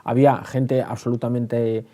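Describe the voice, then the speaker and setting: A man's voice: medium pitch, level tone, measured pace, warm tone. A man with an accent from Spain, giving conversational historical commentary as a guest expert. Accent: Spanish, from Spain.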